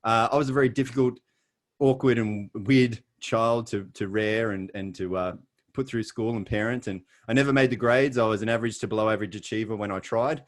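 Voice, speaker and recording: A man's voice: fairly low pitch, fairly quick. A middle-aged Australian man presenting on a video call, chatty and jokey with a self-deprecating streak. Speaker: guest presenter. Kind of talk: webinar presentation.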